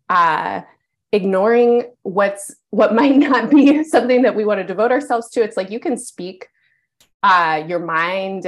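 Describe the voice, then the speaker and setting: A woman astrologer giving a casual, meandering reading of a friend's chart, affirming and full of fillers. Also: medium pitch.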